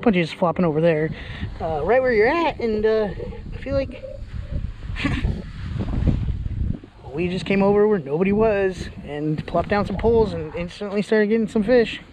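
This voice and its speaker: A young man with a high, light voice chats cheerfully as he fishes, narrating straight to a body-worn camera. Wind and steady outdoor noise sit clearly under his voice. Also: moderate pace, long pauses. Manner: upbeat, chatty, laughs often. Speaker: fishing vlogger. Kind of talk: casual outdoor vlog narration. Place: outdoors on a lakeshore. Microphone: body-worn action camera.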